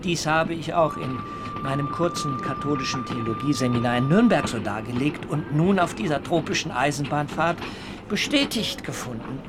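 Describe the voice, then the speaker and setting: An actor playing a fervent young German pilgrim in a radio play, preaching solemnly and rapturously in lofty phrases. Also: male voice, a little high, expressive, moderate pace, noisy background, close to an everyday voice.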